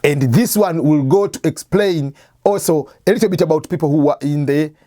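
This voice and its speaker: A man's voice: on the high side, moderate pace, dark tone, no echo, clean studio sound. A man hosting an advice show, explaining step by step in a conversational way, asking and answering his own questions. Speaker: host of an immigration advice channel. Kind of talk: explanatory talk to camera.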